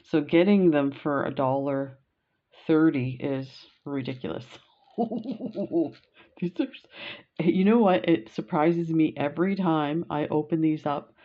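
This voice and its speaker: A middle-aged Canadian woman talks slowly through a bead haul, chatty and gushing, full of little fillers. Her voice sits low, and the home recording sounds dull and lo-fi. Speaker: beading vlogger. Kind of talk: casual unboxing chat.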